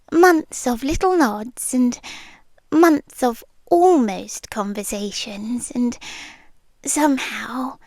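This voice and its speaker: British woman, high soft half-whisper, unhurried, nervously chatty ASMR roleplay, dry close mic. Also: dry recording. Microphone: close ASMR microphone.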